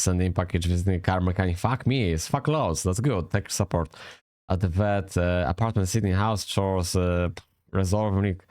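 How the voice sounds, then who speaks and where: A man with a Polish accent talks in a low, smooth, resonant voice. He rambles in loose, casual commentary, swears freely and keeps restarting his sentences. The sound is dry and close, with no room around it. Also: a little mellow, no room sound. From Poland. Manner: swears often, rambling and self-correcting, chuckles. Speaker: vlogger reviewing a language app.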